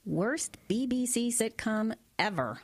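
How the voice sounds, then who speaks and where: A woman's voice: fairly high pitch, lively intonation, takes it slowly, slightly rough, no room sound, full character voice. An actress playing a wry, logical woman doctor in an audio drama, teasing and skeptical.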